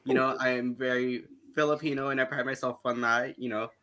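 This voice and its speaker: A man's voice: mid-range voice, level tone, brisk, sharp tone. A man hosting a casual podcast in a playful, theatrical, cheeky way. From Canada. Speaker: podcast host.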